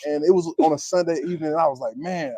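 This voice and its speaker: A man's voice: fairly high pitch, fast talker, mellow, dry recording. A man. He tells stories casually and with animation, in a dry recording. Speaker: former football player, guest. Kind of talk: casual anecdote telling.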